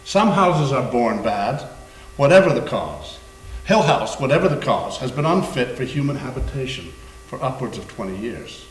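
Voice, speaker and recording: A man playing a formal, grave male doctor with a measured, portentous manner in a staged reading. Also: mid-range voice, very animated, natural voice. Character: formal, grave doctor, welcoming host and lecturer. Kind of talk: staged readers theater. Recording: echoing room with hum.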